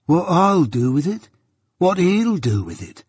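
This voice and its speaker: A man voicing a surly, jealous journeyman blacksmith with a gruff growl and grudging rustic speech, in a storybook reading. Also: on the high side, big pitch swings, a little slow, darkish tone, no room sound, clean studio sound, long reverb tail, voice pushed up, exaggerated voice. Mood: aggrieved. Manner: rustic dialect, grudging and argumentative.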